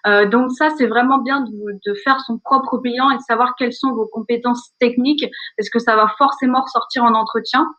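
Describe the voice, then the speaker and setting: A woman's voice: on the high side, steady tone, normal speed, few pauses, pushed and bright, highs cut off. A woman recruiter explaining what companies look for, speaking on a video call in a webinar. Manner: explanatory, lists key points. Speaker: recruiter, headhunter. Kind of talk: webinar presentation.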